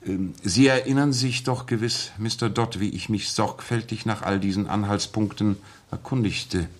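A man performs a composed gentleman detective with a low, slightly husky voice. He sets out his reasoning courteously and precisely, in the measured manner of 1960s German radio drama. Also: steady tone, lightly acted. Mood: methodical, assured.

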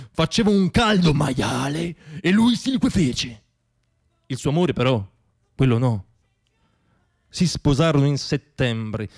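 A man tells stories as a stage monologist. His delivery is theatrical and comic, with a wry, self-deprecating bent. He likes to repeat words for effect. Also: fairly high pitch. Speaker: monologue storyteller and stage performer.

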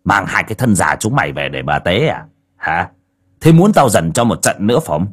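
A man's voice: low-pitched, big pitch swings, a little fast, breathy edge, full character voice. A man voicing a cruel, greedy old male landlord who barks orders and bullies his debtors. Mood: scornful. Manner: barking, bullying.

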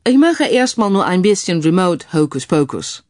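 Woman voicing a relaxed young English investigator man. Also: on the low side, normal speed, dry recording, studio quality. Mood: easygoing, grinning. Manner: casual, drops English phrases into speech. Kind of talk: audiobook character dialogue.

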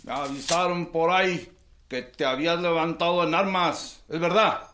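A man's voice: a little high, fairly even intonation, normal speed, fairly bright tone, close to an everyday voice. An actor playing a brusque, condescending Mexican man of authority in a radio drama. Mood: suspicious. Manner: brusque, informal questioning. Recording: echoey outdoor scene, faint noise.

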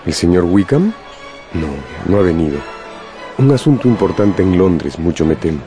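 A man playing a courteous, formal gentleman in a radio drama. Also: sits low, lively intonation, moderate pace, faint music behind. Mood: apologetic.

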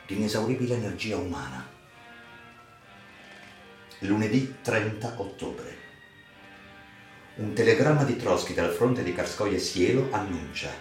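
Deep-voiced man narrating theatrically. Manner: theatrical, emphatic, measured dramatic delivery. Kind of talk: dramatic scripted literary narration for radio theatre. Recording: roomy echo with music underneath. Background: music.

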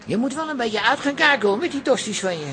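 A cheeky, conservative barber in a radio play. His voice is very high and sharp, leaping across its range. He is blunt and teases relentlessly. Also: lively intonation, fast, faint music behind, heavily acted. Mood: teasing. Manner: teasing, mocking, brash. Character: Leo, cheeky, blunt, needling conservative male hairdresser. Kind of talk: Dutch radio drama acting.

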